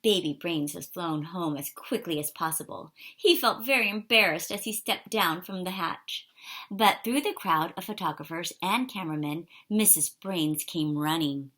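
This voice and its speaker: A woman reading a children's picture book aloud in an expressive, animated way for young listeners. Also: lowish voice, moderate pace. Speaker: storybook reader.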